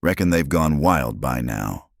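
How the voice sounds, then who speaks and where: Guy reading a gruff steady marshal, super deep voice, slow low drawl, dry sound. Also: dry recording, exaggerated voice. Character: gruff middle-aged male frontier marshal, steady.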